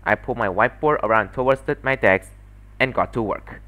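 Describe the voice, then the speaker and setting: A man's voice: medium pitch, a little fast, pushed and bright, lightly acted. A man voicing a solitary, scheming young woman who muses to herself in a visual novel monologue. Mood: calm scheming. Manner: musing to herself.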